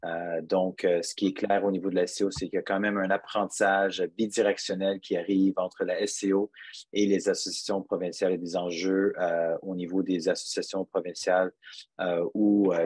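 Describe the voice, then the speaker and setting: A man moderating a conference webinar, hesitant and filling pauses with "euh". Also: sits low, a little mellow, dry recording. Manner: hesitant, many fillers. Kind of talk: hosting a webinar.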